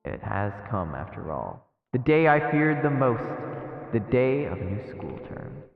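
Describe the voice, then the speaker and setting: A man voicing an anxious child narrator of a horror story, reading in a measured, foreboding way with reverb. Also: medium pitch, very animated, gravelly, voice pushed down. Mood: dread. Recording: echoey reverb, dull muffled sound. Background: game music.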